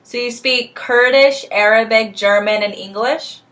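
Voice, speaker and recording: Slow-speaking young woman interviewer. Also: a little high, fairly even intonation, fairly bright tone. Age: young adult. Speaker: student interviewer. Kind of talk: casual interview questions.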